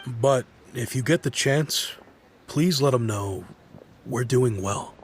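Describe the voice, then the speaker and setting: An actor playing a nostalgic childhood friend in a video game, calm and reflective. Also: male voice, mid-range voice, slow, a little hiss or hum. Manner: calm, soft-spoken and reflective.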